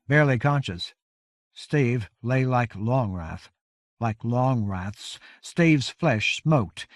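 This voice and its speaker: A man narrating a fantasy novel as an audiobook, with measured, grave, dramatic storytelling. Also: mid-range voice, no room sound, clean studio sound. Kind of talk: scripted dramatic fiction narration.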